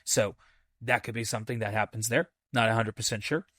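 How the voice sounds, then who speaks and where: A man hosting a podcast, casually reviewing a film in a halting, thinking-aloud way with enthusiastic, repeated emphasis. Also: fairly low pitch, fairly even intonation, pushed and bright, no echo, clean studio sound. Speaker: movie review podcast host.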